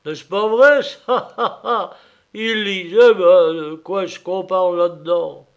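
Storybook bully in a mask: loud, jeering, commanding, read by a man. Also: sits high, steady tone, slow pace, clear, resonant tone, muffled recording, voice pushed up. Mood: rowdy. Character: rowdy drunk masked man, bossy and mocking.

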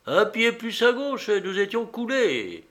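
A man's voice: high-pitched, big pitch swings, unhurried, a bit bright, voice pushed up, full character voice. A man voicing a cheerful, unflappable veteran helmsman in an audiobook, casual and amused by danger. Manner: casual, jocular. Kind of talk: audiobook character voice.

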